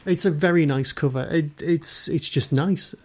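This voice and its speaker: A man's voice: a little high, normal speed, a little mellow. A man speaking as a podcast host. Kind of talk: casual interview chat and scripted announcements.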